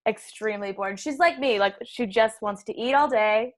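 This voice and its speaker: A woman's voice: fairly high pitch, moderate pace. A woman guest on a podcast, telling stories casually with many "likes" and giggles. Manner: chatty, giggly, lots of "like". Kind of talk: casual storytelling chat. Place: alone at home.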